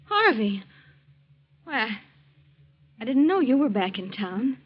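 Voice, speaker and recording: A proud young woman in an old-time radio drama. Her voice sits on the high side and she speaks with determined, defiant emphasis. The recording is dull and muffled with age. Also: big pitch swings, slow, full character voice. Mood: hesitant, guarded.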